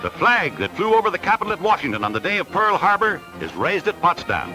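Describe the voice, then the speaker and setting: A man's voice: high voice, wildly swooping pitch, quick pace, over loud music, low-quality recording. An American man narrating a 1940s newsreel in a dramatic, declamatory announcer style, on a vintage film soundtrack.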